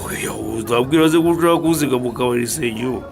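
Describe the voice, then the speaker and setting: An actor with a Rwandan accent playing an evasive, penniless drinker who haggles and protests stubbornly in a radio drama. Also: male voice, mid-range voice, quick pace, creaky, natural voice. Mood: protesting. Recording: music and bar chatter behind.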